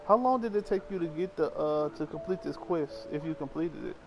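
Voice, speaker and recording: A man's voice: a little high, a little fast. A man doing casual live gaming commentary, talking to viewers and muttering to himself. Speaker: game livestreamer. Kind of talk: casual live gaming commentary, reading game dialogue aloud. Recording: music playing behind the voice. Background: game music.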